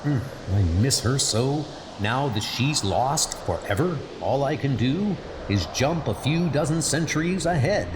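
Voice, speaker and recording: A man's voice: medium pitch, animated, measured pace, hardly any pauses, noisy background, natural voice. A man voicing a resolute but lonely male superhero in a comic dub, earnest and declarative. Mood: regretful.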